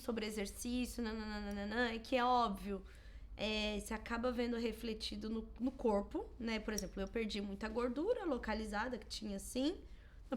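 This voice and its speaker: Brazilian portuguese woman, thirties, podcast co-host, casual chatty storytelling, a little high-pitched. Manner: chatty, animated storytelling with acted-out quotes. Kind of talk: casual chat.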